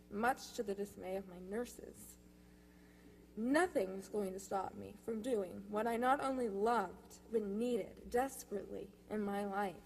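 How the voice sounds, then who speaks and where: A young woman delivering a prepared personal testimonial in church, telling her story in measured, deliberate phrases. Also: medium pitch, a little mellow. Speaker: youth speaker at a church service. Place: church, speaking to a congregation. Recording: live speech with faint noise and hum.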